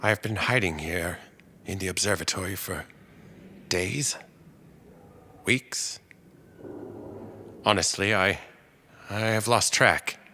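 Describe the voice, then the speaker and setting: Slow, bright-voiced weary survivor played by a man. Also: low-pitched, a little hiss or hum, heavily acted. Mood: weary, dazed. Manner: halting, pausing, deliberate. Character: weary male invasion survivor, reflective and quietly resolute. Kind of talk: dramatic radio play monologue.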